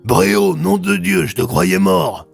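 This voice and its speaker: A man's voice: fairly high pitch, big pitch swings, measured pace, creaky, soft background music, pitched above the performer's own voice, heavily acted. A man voicing a brusque, familiar police commissioner in an audio story. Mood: astonished. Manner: familiar, commanding, swearing. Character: brusque male police commissioner, old comrade.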